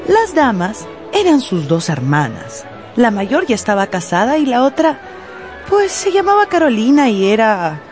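A woman narrating a scripted radio drama as storyteller, playfully, with dramatic pauses. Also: medium pitch.

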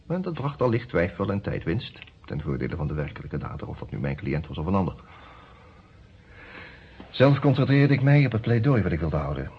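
An actor playing a male defence lawyer in a radio play, formal and deliberate, with hesitant pauses. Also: low voice. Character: male defence lawyer, narrating his case, hesitant under questioning. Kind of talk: Dutch radio play narration and dialogue. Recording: dull, noisy old radio recording.

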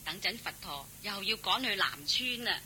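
A woman's voice: medium pitch, lively intonation, moderate pace, bright, forceful tone, slight background noise, rough recording. An actress playing a shrewd, chatty household servant woman in a Cantonese radio drama, coaxing and practical. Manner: coaxing, colloquial, exclaiming.

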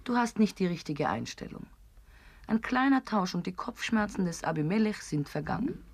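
An actress playing a sly, macabre, teasing woman in a radio play, sardonic, sententious and theatrical, with a husky voice. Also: mid-range voice, expressive, normal speed, dry recording. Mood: probing, teasing. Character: sly, macabre, teasing woman who devours her friends. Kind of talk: absurdist German radio play acting.

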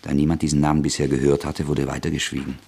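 A man narrating a radio play in a measured, even storytelling manner. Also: rumbling low, creaky, slightly rough.

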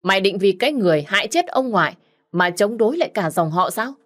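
A woman's voice: lowish voice, animated, brisk. A woman voicing a young man who scolds his cousin with contempt. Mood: scolding.

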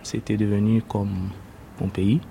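A young man from Sudan, native Arabic speaker, giving a hesitant personal testimony with many pauses. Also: lowish voice, flat delivery, gravelly, warm tone, a little hiss or hum. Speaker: interviewee, refugee.

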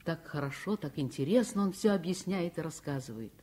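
An actress playing an enthusiastic girl narrator recounting her childhood, expressive and confiding, in a Soviet radio play. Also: low-pitched, brisk. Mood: admiring.

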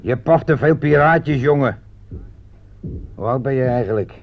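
An actor in a radio play playing a gruff, weary army sergeant with a blunt, commanding but fatherly way of talking. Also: medium pitch, unhurried, lightly acted. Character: gruff, weary army sergeant looking after his young soldiers. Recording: muffled, crackly old radio play recording.

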